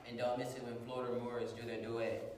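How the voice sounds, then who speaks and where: A man's voice: medium pitch, monotone, a little fast, a crowd in the background. A man reading scripted dramatic narration as the announcer of an amateur radio drama. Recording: very echoey hall, distant mic, clear noise.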